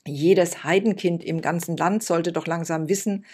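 A woman's voice: fairly low pitch, steady tone, moderate pace, a little mellow, husky. A woman doing a stern, pompous old priest. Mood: indignant preaching. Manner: scolding, preachy, indignant.

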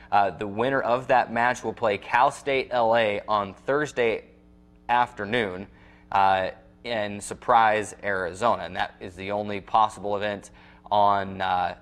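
A man's voice: fairly low pitch, fairly bright tone. A young man hosting a sports show from a studio desk, presenting a semi-scripted recap. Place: small indoor TV studio at a desk.

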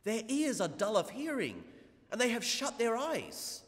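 A man's voice: extremely high pitch, very animated, measured pace, in a large hall. A man preaching a conversational homily, with many asides to the congregation. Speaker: priest. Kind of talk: sermon. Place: church.

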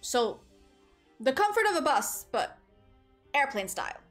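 A woman's voice: high voice, sing-song, a little slow, a bit bright, faint music behind. A young woman from Portugal streaming from a small room on a headset, chatting casually with her viewers, giggly and rambling. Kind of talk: casual chat with live chat. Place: small room indoors at a desk.

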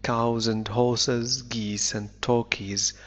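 A man teaching English, reading a novel aloud slowly and deliberately and explaining it line by line, an Arabic speaker. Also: medium pitch, little pitch movement, fairly bright tone. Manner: slow deliberate reading, then quick explanations. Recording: dull lo-fi recording.

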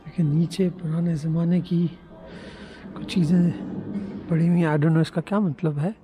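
A middle-aged man, chatting as a travel vlogger in a halting way with many pauses. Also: fairly high pitch, steady tone, noisy background. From Pakistan. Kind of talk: casual travel vlog narration. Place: inside a large ornate synagogue hall. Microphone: handheld camera at arm's length.

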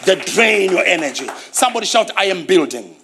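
A middle-aged man with a Zimbabwean accent preaching a sermon on a church stage, animated and repeating key phrases, calling for responses. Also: high-pitched, a little fast, noisy background. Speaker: pastor.

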